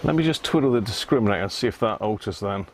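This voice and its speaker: A man's voice: on the low side, animated, fast, a little hiss or hum. A British man hosting a live repair stream, talking casually and half to himself as he works, often tagging questions onto his remarks. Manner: muttering to himself, tag questions. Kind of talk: casual live bench commentary.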